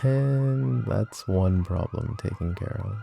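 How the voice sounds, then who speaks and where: A very low, gravelly mafia boss, acted by a man, speaks softly and deliberately with menacing devotion. He is possessive and protective, in an intimate ASMR roleplay with faint music behind him. The tone is dark and mellow, often sinking toward a whisper. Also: heavily acted. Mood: calm, protective. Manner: hushed, deliberate, tender, often dropping to whispers.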